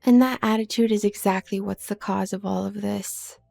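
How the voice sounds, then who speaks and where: A woman playing a haughty, manipulative younger werewolf sister from a noble family in an audio drama, speaking with condescending, needling smoothness. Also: medium pitch, steady tone, moderate pace, warm tone, soft background music. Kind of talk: scripted audio roleplay drama.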